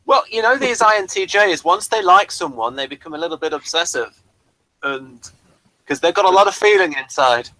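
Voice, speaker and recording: Bright-voiced man, rambling review host. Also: high-pitched, expressive, moderate pace, dry recording, low-quality recording. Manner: rambling, stop-start, thinking aloud. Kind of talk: casual unscripted chat and commentary.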